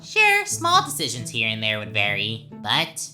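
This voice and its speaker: A woman's voice: a bass voice, wildly swooping pitch, unhurried, bright, forceful tone, soft background music, heavily acted. A woman voicing a sadistic, childishly gleeful talking flower villain in a video game, taunting theatrically and swinging from sweet to menacing. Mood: sinister recounting.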